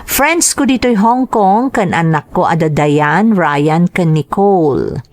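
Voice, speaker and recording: A woman's voice: on the low side, expressive, slow pace, no room sound. A woman with a Filipino accent hosting, reading out greetings and letters in a radio style.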